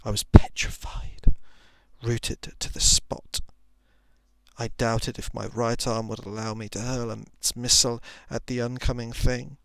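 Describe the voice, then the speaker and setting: Male ASMR narrator for a suspense story, low gravelly hush, very dry close sound, dramatic phrasing. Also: flat delivery, unhurried, no echo. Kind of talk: whispered ASMR reading of a thriller short story.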